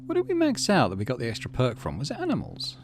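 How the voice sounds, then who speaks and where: A man's voice: medium pitch, huge pitch swings, quick pace, noisy background. A man hosting a video game playthrough, reading the story text aloud and adding casual commentary. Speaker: gaming channel host.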